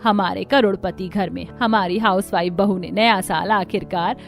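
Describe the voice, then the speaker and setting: A woman's voice: medium pitch, fairly even intonation, normal speed, vocal fry, faint music behind, close to an everyday voice. A woman voicing a proud, approving older mother-in-law in a cartoon story.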